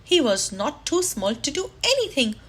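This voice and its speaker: A woman reading a children's story aloud, deliberately and expressively, with drawn-out emphasis. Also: sits high, sing-song, fairly bright tone.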